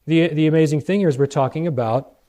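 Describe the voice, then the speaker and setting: A man with an American accent preaching in a lecturing style, reading out quotations and putting rhetorical questions to his listeners with deliberate emphasis. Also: fairly high pitch, mellow. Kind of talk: sermon lecture with read-out quotations.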